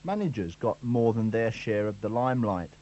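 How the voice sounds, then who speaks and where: A man's voice: on the low side, lively intonation, moderate pace, dark tone. A male sports reporter reading a scripted year-in-review with a dry, wry delivery. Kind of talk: scripted narration. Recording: dull lo-fi radio recording.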